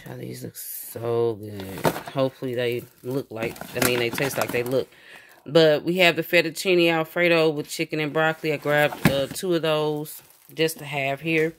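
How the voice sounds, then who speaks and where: A woman's voice: sits low, steady tone, normal speed. A woman vlogger chatting casually and enthusiastically to viewers about groceries in a folksy way, recorded on a handheld camera in a home kitchen. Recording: clear background noise, crinkling packaging.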